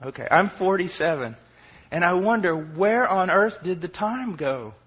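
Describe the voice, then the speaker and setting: Husky impassioned preacher man in his forties. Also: sits high, expressive, unhurried. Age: 40s. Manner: impassioned, swinging from quiet to shouting; self-deprecating humor. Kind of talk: sermon. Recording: dull lo-fi recording.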